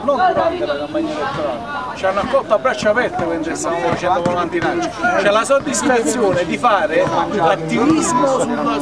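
A man with a fairly high voice speaks up at an outdoor meeting at night, stumbling and restarting as he goes. He sits far from the camera, and his words fight through loud crowd chatter. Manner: hesitant, halting restarts. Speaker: activist at a public meeting. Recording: distant voice amid loud crowd.

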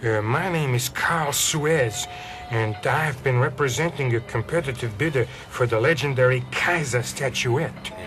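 Male actor as shifty crook in radio thriller spoof; oily courtesy, hesitant, mid-pitched, music beneath. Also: a little slow, close to an everyday voice. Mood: oily, polite. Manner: polite, hesitant, ingratiating. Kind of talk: film-noir parody radio acting.

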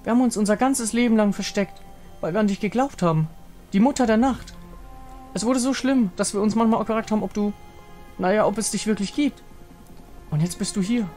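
A man's voice: high voice, fairly quick, pauses often, darkish tone, faint music behind, pitched above the performer's own voice, lightly acted. A man voicing a devout, hopeful follower of a moon goddess in an earnest, reverent way. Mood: reverent joy.

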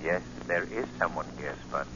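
Casting: mysterious avenger, stern and commanding, radio thriller, dull vintage sound with clear noise. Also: male voice, very deep voice, sharp tone. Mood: commanding, eerie. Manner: slow, commanding, deliberate interrogation. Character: invisible avenging man, menacing, commanding, mysterious. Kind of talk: 1940s radio acting. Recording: noisy, muffled 1940 radio recording.

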